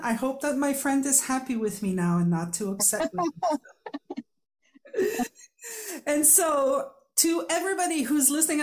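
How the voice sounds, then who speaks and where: A woman's voice: mid-range voice, a little mellow. A woman from Quebec, a native French speaker with a Quebec French accent, hosting a podcast in a chatty, laughing, storytelling way. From Quebec, Canada. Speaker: podcast host. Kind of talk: casual interview chat.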